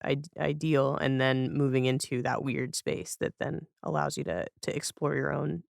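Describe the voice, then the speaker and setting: Woman podcast host with a creaky voice. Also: extremely low pitch, moderate pace, dry recording, studio quality. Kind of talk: casual conversational hosting.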